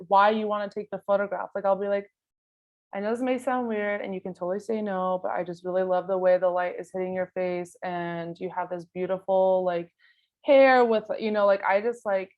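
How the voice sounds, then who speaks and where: Woman chatting casually, slightly dull lo-fi audio. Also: medium pitch. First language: not Spanish; Spanish is second language. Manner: informal, thinking aloud, frequent "like" and "you know". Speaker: guest photographer giving an artist talk. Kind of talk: casual conversational interview answers.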